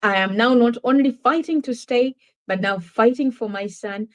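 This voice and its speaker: A woman's voice: on the high side, moderate pace. A woman from Uganda with a Ugandan accent, giving an earnest, reflective account of her own life as a guest in a conversational interview. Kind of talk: personal testimony in conversation.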